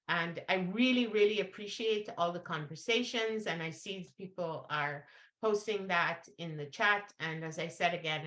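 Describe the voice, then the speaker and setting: A woman from Guyana facilitating an online panel, talking in a halting way with many restarts. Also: fairly low pitch, pushed and bright, echoey room.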